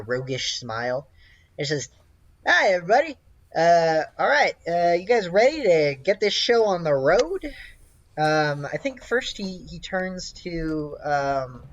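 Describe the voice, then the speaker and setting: A man's voice: on the high side, a bit bright, muffled recording. A man running a tabletop game as game master, improvising narration in a casual, hesitant way.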